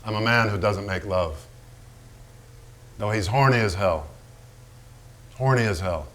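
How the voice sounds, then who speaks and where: A man's voice: on the low side, measured pace, a bit bright. A man playing a volatile, foul-mouthed, hard-drinking painter in a theatrical staged reading, blunt and brooding. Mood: brooding, confessional. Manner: blunt, profane, brooding, given to repetition.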